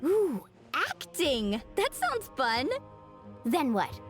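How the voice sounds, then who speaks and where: A bubbly young woman in a video game. Her voice sits high and swings widely as she chats, curious and playful, over light background music. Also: extremely slow, exaggerated voice. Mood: excited. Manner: chatty, expressive.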